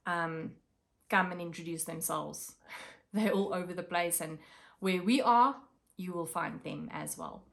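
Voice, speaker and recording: South African woman, Afrikaans first language, relaxed craft vlog chat, slow and halting, lots of ums. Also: mid-range voice. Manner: unhurried, with many pauses and fillers. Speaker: craft vlogger. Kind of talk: casual chat to camera.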